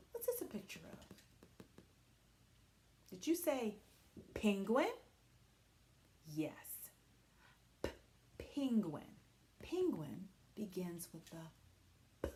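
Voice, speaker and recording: A woman teacher leading a read-aloud lesson for young children, speaking patiently and pausing after her questions. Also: on the high side, darkish tone.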